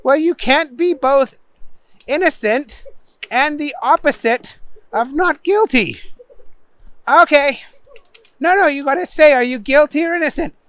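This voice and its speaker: Performer doing a weary, exasperated judge, bright-voiced. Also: high-pitched, unhurried, pauses often, pitched above the performer's own voice. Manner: questioning, insistent, spells out choices. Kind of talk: comic character voice in storytelling.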